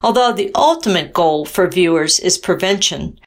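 A woman's voice: lowish voice, animated, normal speed. A woman artist presenting her own work in scripted, explanatory narration.

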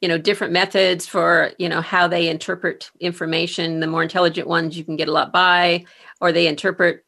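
A woman's voice: low-pitched, gravelly, fairly bright tone. A woman interviewing a guest in a conversational way, speaking as a career coach who adds her own experience.

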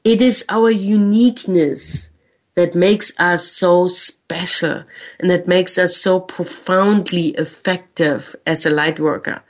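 A woman with a German accent hosting a spiritual radio show, speaking in a gentle, earnest way with slow, drawn-out phrases. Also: lowish voice, fairly even intonation. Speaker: spiritual radio host and channel. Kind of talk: reflective spiritual talk.

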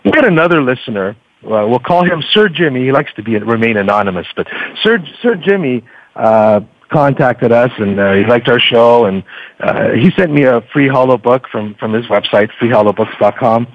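A man's voice: medium pitch, lively intonation, normal speed, dry recording, highs cut off. A man speaking as a podcaster guest in a casual interview, telling anecdotes in a chatty, hesitant way. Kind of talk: casual, conversational interview talk.

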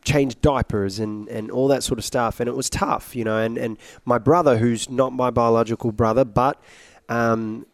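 A young man with a British-sounding accent, telling his story casually and haltingly as a guest, with some joking. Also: mid-range voice, fairly even intonation, no room sound. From Iraq, later Australia. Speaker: interview guest, singer. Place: at a conference.

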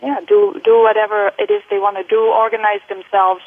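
A woman in her forties with a Dutch accent, a little British and American in it, speaking at a middle pitch. She talks haltingly, with many ums and restarts. The sound is thin and tinny, like a phone line, with no room echo. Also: little pitch movement. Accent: Dutch, with British and American touches. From Netherlands. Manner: hesitant, many fillers and restarts. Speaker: guest, college faculty member. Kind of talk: interview conversation.

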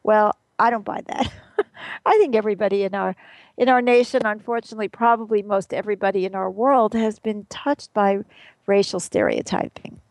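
A woman's voice: mid-range voice, steady tone, normal speed, no room sound. Earnest chatty woman talk show host. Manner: earnest, chatty, with fillers and small laughs. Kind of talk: casual talk radio monologue.

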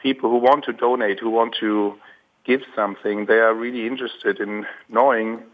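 A hoarse man, calling in as a guest and speaking hesitantly with many ums and restarts. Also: lowish voice, steady tone, normal speed. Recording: dull lo-fi call line.

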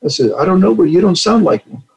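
A man's voice: high-pitched, expressive, fast talker, a little mellow, low-quality recording. An older man from Puerto Rico, a retired general, earnestly telling stories in an interview and acting out the dialogue. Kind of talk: storytelling interview answers.

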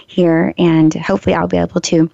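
Hoarse, gravelly woman radio host. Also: fairly low pitch, flat delivery, fairly quick, no echo. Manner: chatty, warm asides, frequent ums and restarts. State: hoarse, recovering from a lost voice. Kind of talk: casual solo talk to listeners. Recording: slightly dull lo-fi radio recording.

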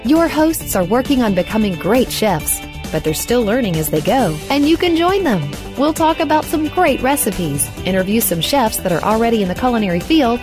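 A woman reading a scripted radio promo in an upbeat, inviting way. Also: fairly high pitch. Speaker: promo announcer. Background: music.

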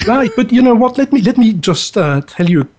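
A man's voice: very high-pitched, very animated, fairly quick, darkish tone, lo-fi sound. A man being interviewed as a bank executive, answering with frequent ums and uhs. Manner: hesitant, frequent uh and um.

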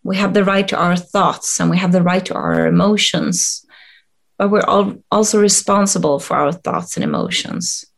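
A middle-aged woman from Sweden with a Swedish accent, answering interview questions hesitantly with many pauses and restarts. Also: lowish voice, expressive, vocal fry. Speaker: guest, coach and HR expert.

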